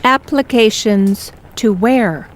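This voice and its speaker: A woman playing a slow, firm mom. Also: fairly high pitch, poor audio. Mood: insistent. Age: middle-aged. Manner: measured, insistent. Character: firm, pushy middle-aged mom, a doctor. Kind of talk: audio drama acting.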